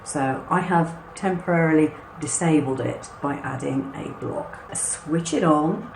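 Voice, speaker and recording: A hoarse, husky woman with a low voice gives a reassuring, step-by-step tutorial. She talks in an easy, chatty way. The room rings with echo and has a faint background hiss. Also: unhurried, a little mellow. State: hoarse and husky after a cough. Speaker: craft channel host. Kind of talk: hands-on product walkthrough.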